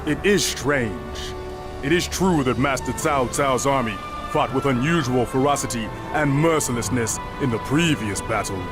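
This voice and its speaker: An actor playing a stern, honorable general of ancient China in a video game, speaking formally and resolutely. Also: male voice, mid-range voice, animated, normal speed, natural voice. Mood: pensive. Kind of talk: video game dramatic acting. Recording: voice under loud music.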